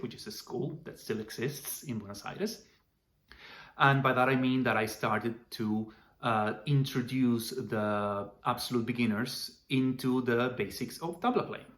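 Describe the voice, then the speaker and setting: A man in his forties from Argentina, with an Argentine accent and Spanish as his first language, telling his own story in a relaxed, chatty vlog. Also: mid-range voice. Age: 40s. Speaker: vocal percussion teacher.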